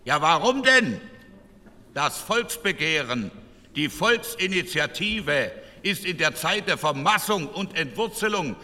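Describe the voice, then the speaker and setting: An actor playing an indignant 1940s German parliamentarian, giving a formal, emphatic, rhetorical speech. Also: male voice, on the high side, sing-song, a little slow, pushed and bright, full character voice. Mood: emphatic warning. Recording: echoing large hall.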